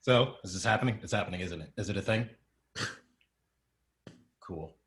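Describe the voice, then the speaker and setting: A man acts as a friendly sidekick with a fairly low voice. The delivery is casual and teasing, in short halting bits, for an adventure drama table read. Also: a bit bright. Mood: teasing, amused. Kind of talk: script table-read acting.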